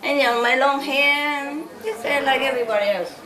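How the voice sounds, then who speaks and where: A woman's voice: sits high, moderate pace, bright, forceful tone. A woman from Vietnam, giving an informal talk to followers as a spiritual teacher, chatty and laughing often. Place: large hall with a live audience. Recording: echoey hall, distant mic, audience noise.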